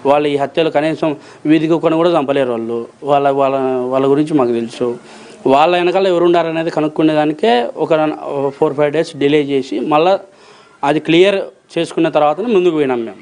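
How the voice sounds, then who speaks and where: Man, Rayalaseema Telugu accent, higher-than-average voice, rapid colloquial interview answers, forceful repetition and rhetorical questioning. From Rayalaseema, Andhra Pradesh, India. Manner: emphatic, insistent, repeats phrases and asks rhetorical questions. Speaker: interview guest, approver witness in a criminal case.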